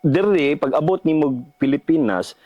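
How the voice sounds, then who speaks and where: A man's voice: a little high, expressive, normal speed, muffled recording. A man with a Filipino Bisaya accent chatting casually as an interviewer, exclamatory and often repeating his words. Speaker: podcast host, interviewer. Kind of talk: casual bilingual chat.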